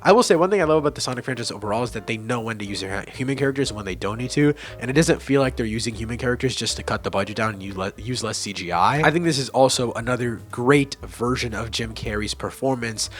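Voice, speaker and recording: A man's voice: medium pitch, fast, hardly any pauses. A young man giving casual, opinionated movie-ranking commentary, enthusiastic and blunt, with frequent restarts and casual swearing. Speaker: movie reviewer.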